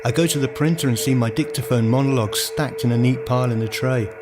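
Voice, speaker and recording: A man reading dramatic, literary first-person narration for a radio play in a deliberate storytelling manner. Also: medium pitch. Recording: music behind the voice. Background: music.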